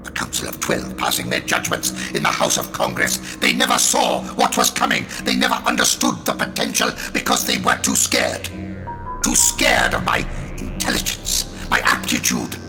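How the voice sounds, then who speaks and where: An actor playing a bitter, scornful, megalomaniac scientist in an audio drama, with a harsh croak and slow declamatory delivery. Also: male voice, very deep voice, exaggerated voice.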